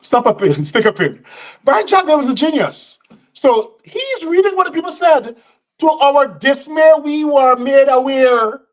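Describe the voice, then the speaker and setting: A man's voice: very high-pitched, sing-song, unhurried. Indignant man, lo-fi sound. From Guyana. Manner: indignant, repeats phrases for emphasis. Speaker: political commentator. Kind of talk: combative political commentary.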